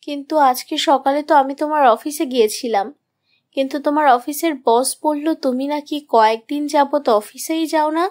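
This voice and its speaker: A woman's voice: high voice, normal speed, darkish tone, lightly acted. A woman playing an anxious, suspicious young wife who narrates a horror story in a dramatic, troubled manner. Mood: disbelieving. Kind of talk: first-person scripted horror story narration.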